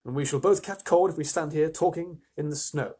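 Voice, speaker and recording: A man voicing an excitable, courteous fawn with a flustered, effusive, old-fashioned politeness in a storybook reading. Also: on the high side, a little fast, husky. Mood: inviting, courteous.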